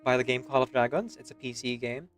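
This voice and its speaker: A man's voice: mid-range voice, fast talker, faint music behind. A man with a Filipino accent chatting casually on a stream, easygoing and chuckling, with lots of fillers.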